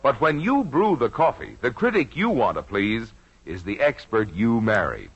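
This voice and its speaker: An American man working as a commercial announcer, giving a folksy, persuasive 1950s radio sales pitch. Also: big pitch swings, moderate pace, breathy edge. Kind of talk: 1950s radio ad read, smooth-talking pitch. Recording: muffled old radio recording.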